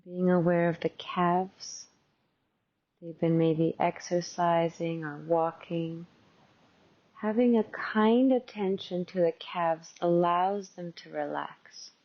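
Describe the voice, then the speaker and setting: A woman leads a guided meditation at a very slow pace, in a fairly low voice. Her delivery is gentle and conversational, like a teacher softly instructing. The recording sounds slightly dull and lo-fi. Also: steady tone.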